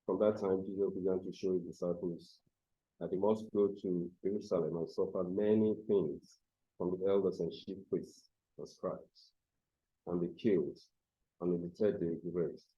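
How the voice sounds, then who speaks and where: A man reading scripture aloud in a formal, deliberate way, phrase by phrase. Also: low voice, steady tone, husky. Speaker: scripture reader. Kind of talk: Bible reading. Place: church service. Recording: dull, slightly muffled sound.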